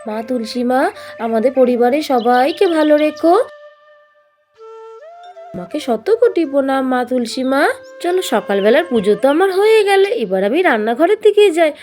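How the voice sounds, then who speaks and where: Woman doing a fed up village mom in a doll cartoon, high lively voice, complaining and scolding. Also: normal speed, soft background music. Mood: devout. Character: harried village mother, exasperated and devout. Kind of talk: children's doll-play cartoon story.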